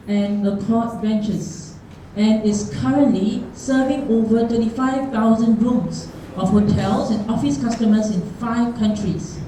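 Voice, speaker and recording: A woman's voice: a little high, warm tone. A woman hosting an event, reading a formal introduction of the next speaker with a few slips. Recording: echoey room, far from mic, faint noise.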